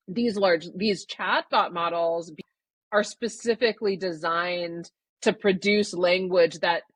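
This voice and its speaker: Measured woman, dry close sound. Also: on the low side, no room sound. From Canada. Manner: thoughtful, measured, qualifies claims. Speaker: guest author. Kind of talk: podcast interview answer.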